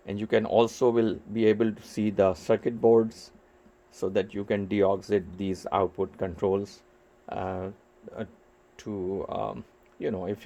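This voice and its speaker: A man giving step-by-step hands-on repair instructions in a careful, halting way, pausing between short phrases. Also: lowish voice, creaky, darkish tone. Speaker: repair tutorial host.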